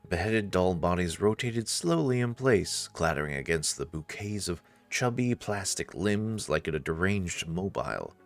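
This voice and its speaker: A man's voice: low voice, sing-song, unhurried, creaky, hardly any pauses, faint music behind. A man narrating a visual novel playthrough expressively, with casual asides.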